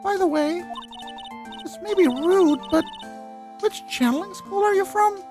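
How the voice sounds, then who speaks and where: A man voicing a polite, earnest little girl spirit medium for a video game, speaking in a refined, halting way. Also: extremely high pitch, over music, voice pushed up. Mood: politely curious. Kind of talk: video game dialogue voice-over.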